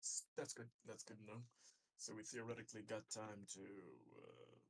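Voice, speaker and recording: Low, gravelly man playing a tabletop game. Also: steady tone, normal speed. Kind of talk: casual game chat.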